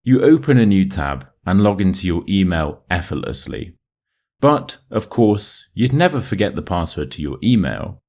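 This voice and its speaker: A man with a modern RP British accent reading a short story as an English teacher, in a measured, clearly enunciated way. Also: low-pitched, very animated, darkish tone. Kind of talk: scripted story narration for listening practice.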